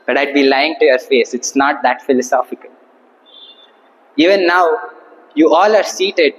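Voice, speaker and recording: A young man giving a humorous prepared speech to an audience, with a theatrical, rhetorical delivery and deliberate pauses. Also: fairly high pitch. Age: young adult. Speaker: club speaker at Toastmasters. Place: indoor hall, speaking to a seated audience. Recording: reverberant hall.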